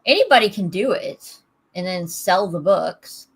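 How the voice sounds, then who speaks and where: A woman hosting a casual evening chat stream, talking in a relaxed way with many fillers and restarts, her voice fairly flat in tone. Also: on the low side, unhurried, breathy edge. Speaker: host.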